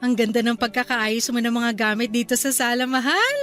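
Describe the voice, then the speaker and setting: A woman's voice: fairly high pitch, fairly even intonation, a little fast, a bit bright, soft background music, lightly acted. A woman with a Filipino accent voicing a fond, teasing young wife and new mother in a radio drama.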